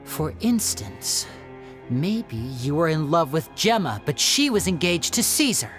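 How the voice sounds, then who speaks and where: A cool female interrogator from a video game, speaking in a fairly low register. She questions pointedly and confidently, with faint music behind her. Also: big pitch swings, unhurried. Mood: cool, probing. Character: cool, probing female interrogator confronting a criminal.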